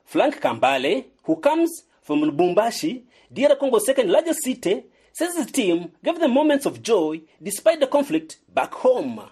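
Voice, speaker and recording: A man's voice: extremely high pitch, sing-song, normal speed, few pauses, darkish tone, husky. A man reporting a scripted radio sports feature, narrating between interviews.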